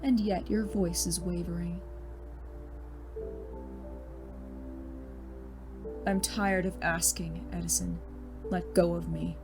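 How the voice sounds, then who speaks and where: A woman's voice: lowish voice, flat delivery, moderate pace, vocal fry. A woman playing a smug, bitter male chemistry teacher turned supervillain in a scripted audio drama, with a mocking, condescending, theatrical delivery. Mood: scornful. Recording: music behind the voice.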